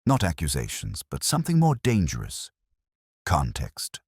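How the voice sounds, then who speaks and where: A man reading scripted narration of a detective mystery in a measured, literary storytelling manner. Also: a bass voice, wildly swooping pitch, darkish tone, slightly rough, dry recording, clean studio sound.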